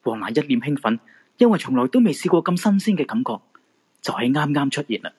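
A man's voice: fairly high pitch, sing-song, fast, darkish tone, breathy edge. A man with a Hong Kong Cantonese accent reading scripted narration for a radio drama.

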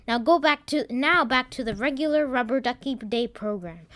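A woman's voice: high-pitched, animated, normal speed, a bit bright, no room sound, voice pushed up. A woman playing an earnest television news anchor, announcing a formal report within a children's story. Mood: urgent.

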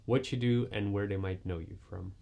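Low-pitched male host, relaxed, loose rambling questions. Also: moderate pace, gravelly. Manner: relaxed, filler-heavy questioning. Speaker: podcast host. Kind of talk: interview questions.

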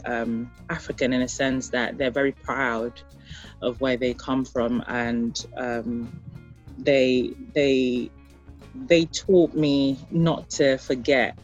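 An interview guest who grew up in Ghana and later Europe, speaking reflectively and haltingly, often searching for words. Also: mid-range voice, darkish tone, dull audio. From born in Liberia, raised in Ghana, later the Netherlands and UK.

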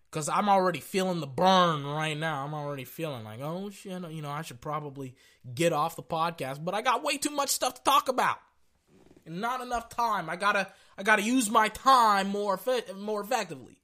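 A young man hosts a loose sports podcast in a high, bright voice with a forceful edge. His throat is sore and his voice is fading, yet he stays brash and excitable, calling out to "ladies and gentlemen" as he riffs. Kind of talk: casual sports podcast talk.

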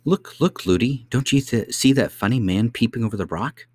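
A man's voice: mid-range voice, moderate pace, a little mellow, slightly rough, no echo, studio quality, pitched above the performer's own voice, lightly acted. A man voicing a chatty, innocent, insistent little princess in a storybook. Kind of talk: storybook character voice.

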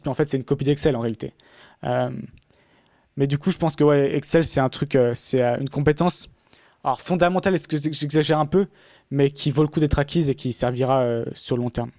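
Fast-talking rambling man, dry sound. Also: fairly high pitch, darkish tone, dry recording. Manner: fast, rambling, frequent fillers and restarts. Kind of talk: casual podcast conversation.

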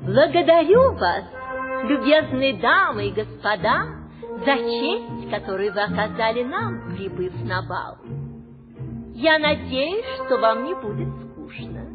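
A woman's voice: on the high side, big pitch swings, takes it slowly. An actress playing a stately queen mother who gives orders to her son and her servants in a classic radio play. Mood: gracious. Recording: tinny old recording with music.

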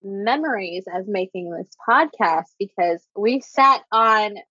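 A woman co-hosting a podcast in casual chat, profane and animated with quick asides, recorded over a home mic for a video call. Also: mid-range voice, slightly rough, no echo, lo-fi sound. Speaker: podcast co-host.

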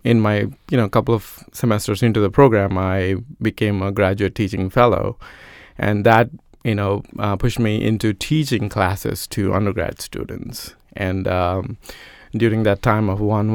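A man from India whose first language is Telugu, speaking as a guest in a relaxed interview. His low voice has a gravelly yet smooth texture. He thinks aloud, pausing on fillers, in a dry, close recording. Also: no echo. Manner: thoughtful, many fillers. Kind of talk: conversational interview.

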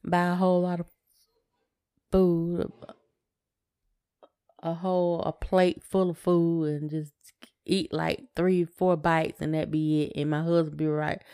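A woman's voice: lowish voice, level tone, a little slow, long pauses, mellow, clean tone. A woman co-hosting a podcast, talking casually and plainly, often repeating short phrases. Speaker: podcast co-host. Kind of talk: casual conversation.

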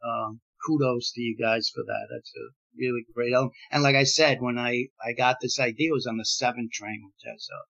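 A man's voice: mid-range voice, steady tone, a little fast, hardly any pauses, a bit bright. A man in his sixties from Gibraltar whose first language is Spanish, a playwright talking in a casual interview, rambling with many fillers and restarts. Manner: rambling, many fillers and restarts, laughs while telling stories. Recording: dull lo-fi sound.

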